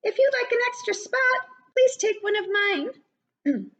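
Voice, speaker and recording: A woman voicing a kind, excitable female storybook bird, chirpy and gushing. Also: extremely high pitch, slow pace, voice pushed up, full character voice. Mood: bright kindness. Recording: dull, lo-fi webcam sound.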